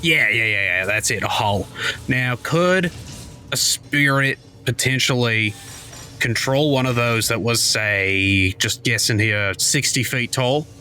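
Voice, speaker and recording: A man's voice: medium pitch, takes it slowly, sharp tone, a little hiss or hum. A man voicing a chummy male adventurer who coaxes answers out of people with leading questions, over background music. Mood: coaxing.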